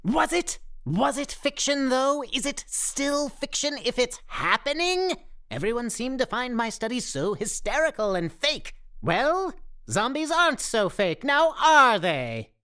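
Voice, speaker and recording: A woman's voice: sits high, big pitch swings, measured pace, a bit bright, full character voice. A woman playing a pedantic, self-important zombie theorist in a radio play, with formal, wordy diction. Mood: indignant.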